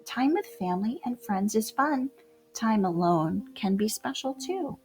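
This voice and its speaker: A woman with a high, very lively voice reads a rhyming picture book for children. Her delivery is theatrical and unhurried, dropping to hushed whispers and rising to bold exclamations. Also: soft background music. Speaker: children's storybook reader. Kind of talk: animated read-aloud of rhyming picture book poems.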